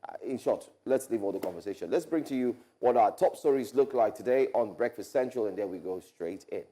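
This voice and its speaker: A man's voice: fairly low pitch, animated, fairly quick, dark tone. A man co-hosting a morning talk show, chatting loosely with many fillers and then reading out the headlines. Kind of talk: casual studio chat and headline reading.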